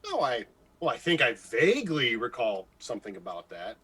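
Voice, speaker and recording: A man playing an excitable, jealous, insecure morning radio host in a radio comedy-drama, stammering, gushing and evasive. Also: mid-range voice, moderate pace, breathy edge, heavily acted. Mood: reluctant.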